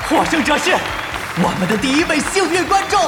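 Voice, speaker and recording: An excitable male match announcer in an audio drama, pitched very high and swinging energetically. He projects in a showy style through a big echoing hall. Music and a crowd sit behind him. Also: a little fast. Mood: excited, showy. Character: excitable, flustered male esports match announcer at a public event. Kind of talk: amplified live match commentary in an audio drama.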